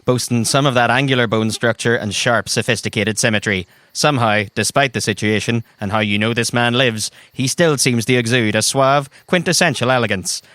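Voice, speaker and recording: A man's voice: medium pitch, brisk, hardly any pauses, a bit bright, no echo. A man narrates a tabletop adventure dramatically in the second person as game master. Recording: music bed behind the voice. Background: background music.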